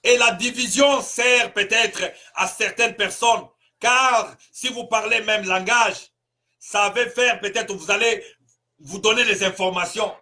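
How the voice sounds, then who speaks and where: Congolese pastor, male, high and bright-toned, fiery rhetorical delivery with shouted peaks. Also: steady tone, measured pace. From Congo (DRC). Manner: emphatic, rhetorical questions, bursts of shouting. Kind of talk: impassioned political sermon.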